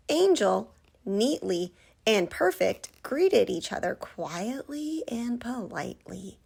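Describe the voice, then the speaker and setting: A woman reading a children's storybook aloud like a kindergarten teacher, expressively, pausing to put questions to young listeners. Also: fairly high pitch, creaky.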